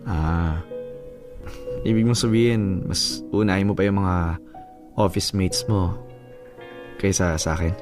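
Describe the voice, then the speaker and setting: Jealous boyfriend over background music. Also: male voice, low-pitched, fairly even intonation, unhurried, long pauses. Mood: hurt, suspicious. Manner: insistent, hurt, questioning. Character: jealous, possessive boyfriend, pleading with his girlfriend. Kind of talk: Filipino radio drama acting. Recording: music bed under the voice.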